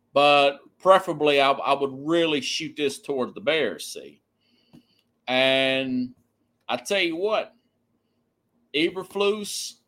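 A man's voice: mid-range voice, pushed and bright. A man hosting a sports podcast, giving an opinionated, conversational monologue and repeating phrases for emphasis.

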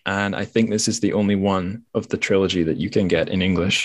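A man's voice: low-pitched, fairly even intonation, brisk. A man from Scotland hosting a podcast and chatting casually about books, often correcting himself as he goes. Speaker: podcast host. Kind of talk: casual book discussion.

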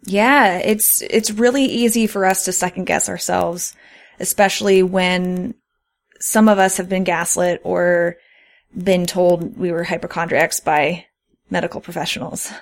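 A woman's voice: lowish voice, fairly even intonation, measured pace, gravelly. A woman co-hosting a podcast, talking casually and explaining things in an earnest way. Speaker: podcast co-host. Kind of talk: casual conversational podcast talk.

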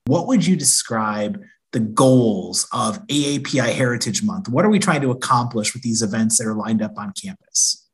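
A man asking conversational interview questions in a measured way. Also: medium pitch, lively intonation, husky.

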